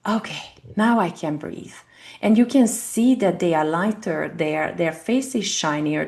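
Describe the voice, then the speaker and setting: Hungarian woman coach, low gravelly voice. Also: darkish tone. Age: 40s. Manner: earnest, fluent with non-native grammar slips. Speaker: guest, family constellation coach. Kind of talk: interview answers. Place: home in Texas, online call.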